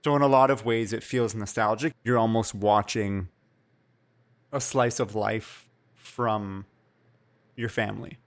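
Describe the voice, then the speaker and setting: A man's voice: lowish voice, steady tone, a little slow. A young man from Canada co-hosting a podcast, talking in a thoughtful, reflective and somewhat hesitant way. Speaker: podcast co-host. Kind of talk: casual chat.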